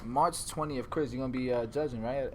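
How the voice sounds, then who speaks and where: A man's voice: medium pitch, brisk, darkish tone, a little hiss or hum. A man hosting a casual barbershop chat, playful and teasing, rallying listeners. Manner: playful, teasing, hypes the audience. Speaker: podcast host.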